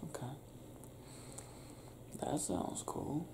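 A young man in a soft, breathy, sleepy murmur, often near a whisper, speaking intimately in an ASMR roleplay as a partner just waking up. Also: extremely slow, background noise. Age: young adult. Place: small indoor bedroom, lying on a bed.

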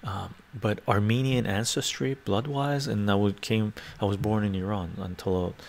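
Older man, gravelly low voice, livestream chat. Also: steady tone, moderate pace, a little hiss or hum. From Canada. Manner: chatty, answers viewer comments aloud. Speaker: livestream host reading chat. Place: home study with bookshelves. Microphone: lapel mic.

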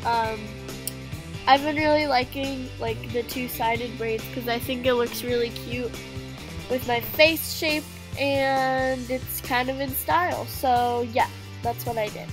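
A teenage girl with a high, nasal, congested voice talks through her beauty routine in a chatty way, full of "um" and "like". Music plays clearly under her narration. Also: steady tone, slow pace. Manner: chatty, with fillers like "um" and "like". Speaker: beauty vlogger. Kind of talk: casual get-ready-with-me voice-over. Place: home living room. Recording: music playing behind the voice.

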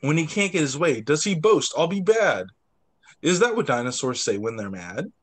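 A young man reads a picture book aloud as a teacher would for small children. His voice sits a little high and swings widely in pitch. He narrates expressively and drops in short asides. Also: very animated, brisk. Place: indoors, on a video call.

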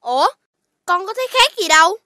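A woman's voice: extremely high pitch, very animated, brisk, pushed and bright, dry recording, studio quality, exaggerated voice. A woman voicing a cheeky young boy puppy in a cartoon, whiny and pleading when he is in trouble. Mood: chatty. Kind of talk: cartoon voice acting. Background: background music.

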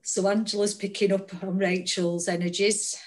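A woman trainer on a video call, explaining the session to viewers in even asides. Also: medium pitch, moderate pace.

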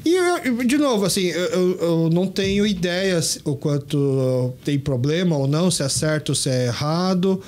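A man's voice: fairly high pitch, lively intonation, moderate pace, few pauses, darkish tone. A man in his thirties hosting a podcast, chatting casually with frequent restarts and long, rambling anecdotes. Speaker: podcast host. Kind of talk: casual chat.

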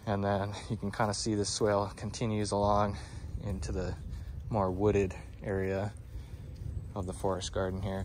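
A man giving a relaxed walking tour of his garden outdoors, with lots of "um, you know," filmed on a handheld phone. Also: sits low, a little hiss or hum. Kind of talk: casual walking tour explanation. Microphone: phone camera held by the speaker.

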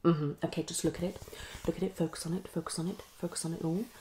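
A woman's voice: fairly low pitch, steady tone, fast talker, warm tone, a little hiss or hum. A woman, around her thirties, performing an ASMR psychologist roleplay, muttering and repeating herself as she slips between whispers and speech. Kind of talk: fast, unpredictable ASMR roleplay. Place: small living room, indoors.

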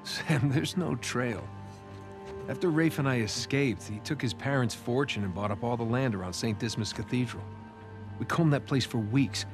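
A man's voice: mid-range voice, moderate pace, lightly acted. Game hero for a male actor: American ex-treasure hunter, medium voice, dry skepticism, everyday talk with music behind. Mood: skeptical. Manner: conversational, skeptical, wry. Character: wry American adventurer, reluctant retired treasure hunter. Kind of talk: naturalistic video game acting.

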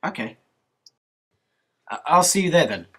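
A man's voice: fairly high pitch, big pitch swings, unhurried, a bit bright, slightly rough, heavily acted. A young man playing an awkward, friendly college student in casual drama dialogue. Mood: hesitant. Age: young adult.

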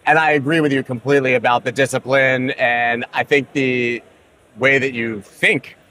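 A man hosting an interview in a relaxed, conversational way. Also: medium pitch, moderate pace, slight background noise, rough recording. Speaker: podcast host.

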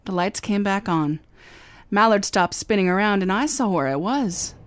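An actress plays a young lady private eye in a radio drama, telling her case with a dry, wry hardboiled voice. Her pitch sits in the middle. It has a live-performance radio-play feel. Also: normal speed, no room sound, close to an everyday voice. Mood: narrating. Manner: dry, wry first-person hardboiled narration. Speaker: narrator and lead detective. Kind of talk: 2009 re-creation of 1950 radio drama. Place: recorded live, San Francisco.

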